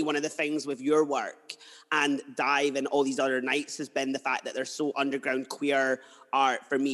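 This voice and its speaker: A man's voice: mid-range voice, a bit bright. Enthusiastic male host, reverberant room. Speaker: podcast host. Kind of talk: casual interview chat.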